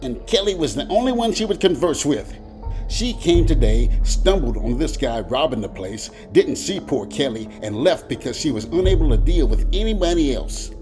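A man voicing a skinny, polite little man in a crime story, earnest, wordy and excitable. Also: mid-range voice, measured pace, music in the background, lightly acted. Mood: earnest explaining. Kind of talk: dramatized crime story reading.

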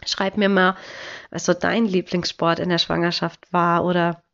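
A woman's voice: lowish voice, little pitch movement, moderate pace, vocal fry, a bit bright, dull audio. A woman in her thirties with a cold, hosting a podcast in a chatty, encouraging way with many filler sounds. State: has a cold. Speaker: podcast host. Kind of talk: casual podcast monologue.

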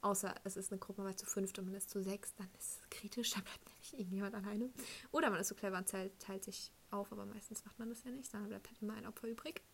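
A woman's voice: mid-range voice, steady tone, fast talker, creaky, breathy edge. A woman in her late teens chatting casually in a rambling, giggly, self-deprecating way, with youthful slang. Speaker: high school student sharing anecdotes. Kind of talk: casual, rambling personal chat.

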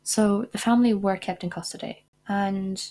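A woman's voice: medium pitch, fairly even intonation, moderate pace, mellow. A young woman narrating a true-crime podcast from a script, breaking off into indignant asides. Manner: indignant asides between read facts. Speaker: podcast host.

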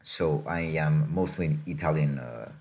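A young man from Mexico talks through life lessons in a relaxed podcast chat, thinking aloud and checking in with "right?". His voice sits extremely low, a deep rumble under every phrase. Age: young adult. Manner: reflective, unhurried, often tags "right?". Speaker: podcast co-host.

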